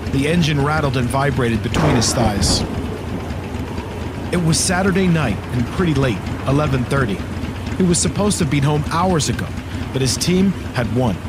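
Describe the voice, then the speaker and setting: A man's voice: a little high, very noisy. A man narrating a scripted horror audio drama, with storm and engine sound effects behind him. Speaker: story narrator. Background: loud storm and vehicle ambience.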